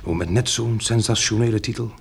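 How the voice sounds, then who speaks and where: An actor in a radio drama playing a courteous, wry gentleman who has survived a catastrophe, in intimate, naturalistic dialogue with a gravelly, husky voice. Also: lowish voice, a little fast, exaggerated voice. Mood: mildly surprised.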